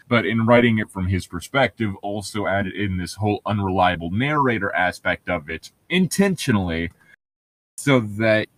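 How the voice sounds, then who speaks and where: A man with a fairly low voice chats casually as a co-host picking apart a book. His explanations ramble, full of filler "like" and swearing. The sound is dry, with little room to it. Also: no room sound. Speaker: podcast co-host reading and critiquing a book. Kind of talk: casual chat, commentary.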